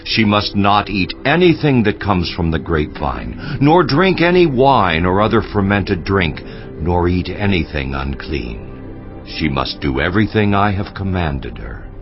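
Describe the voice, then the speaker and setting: An actor playing a solemn, commanding angel of the Lord in dramatized scripture, with slow, stately delivery. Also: male voice, low-pitched, big pitch swings, muffled recording, full character voice. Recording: band-limited sound with music. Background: background music.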